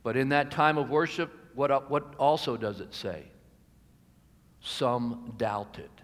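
Older american pastor guy in his 60s preaching slow and deliberate at a pulpit mic, big echoey church. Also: mid-range voice. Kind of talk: sermon. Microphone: gooseneck pulpit microphone. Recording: reverberant hall, amplified pulpit sound.

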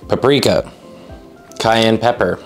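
A man's voice: fairly low pitch, slow pace, soft background music. A young man casually talking viewers through a meal prep recipe while he cooks, upbeat and joking. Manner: upbeat, jokey, talks to viewers while cooking. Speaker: fitness vlogger. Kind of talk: casual cooking vlog talk.

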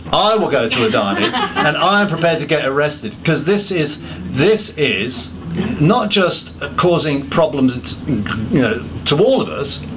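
A man's voice: fairly high pitch, noisy background. A man giving a public talk, listing points emphatically and often restarting his sentences with self-deprecating asides. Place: pub function room.

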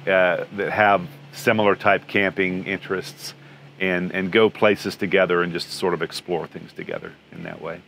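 A man in his sixties talks easily about his travels, his voice sitting low and friendly. He rambles and restarts as he goes, sitting outdoors beside a trailer. A faint outdoor hiss stays under him. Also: steady tone, moderate pace, a bit bright. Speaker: trailer owner interviewee. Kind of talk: casual interview answers.

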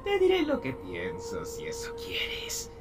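A deranged villain behind bars in a film dub. He taunts at a very slow pace, in a low voice that swoops wildly from murmurs to giggling cackles, over loud music. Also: wildly swooping pitch, exaggerated voice. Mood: menacing, sinister. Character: deranged male prison inmate, mocking, giggling villain. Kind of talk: Spanish fan dub film acting.

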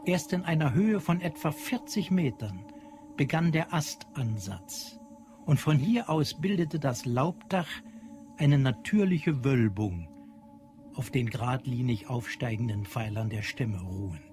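A man narrating scripted literary prose in a measured, precise way. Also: medium pitch, lively intonation. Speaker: audio drama narrator. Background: prominent music.

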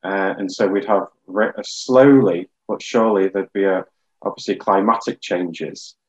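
Low-voiced man on a muffled video call. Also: measured pace, darkish tone. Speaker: guest geologist. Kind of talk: informal scientific explanation in a webinar. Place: small plain room indoors.

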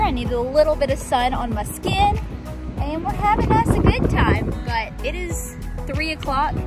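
A young woman chatting upbeat to a handheld camera in a car and outdoors, as a travel vlogger. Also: sits high. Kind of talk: casual travel vlog. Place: parked car, then outdoors on a pier and bridge. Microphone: handheld camera at arm's length.

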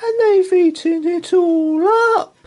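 A man voicing a tiny, squeaky, tearful baby bear boy in a storybook read-aloud. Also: takes it slowly, warm tone. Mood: squeaky, upset.

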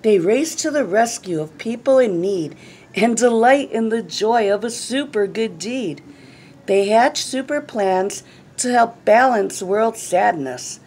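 A middle-aged woman reading a children's picture book aloud in an expressive, deliberate, phrase-by-phrase way. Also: on the high side. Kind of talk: read-aloud storytelling. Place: small classroom, indoors. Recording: clearly noisy room recording.

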